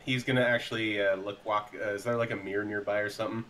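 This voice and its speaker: A man's voice: fairly low pitch, steady tone, fast talker, a bit bright. A man roleplaying casually in a tabletop game, hesitant and thinking aloud as he talks. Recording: clearly noisy background.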